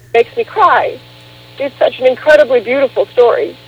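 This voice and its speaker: A woman's voice: high-pitched, normal speed, husky, muffled recording. A woman guest on a radio interview, telling her story emphatically and with animation.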